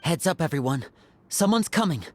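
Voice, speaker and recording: A woman's voice: low-pitched, big pitch swings, moderate pace, faint music behind. A woman playing a composed, alert and level-headed young adventurer in a video game. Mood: wary.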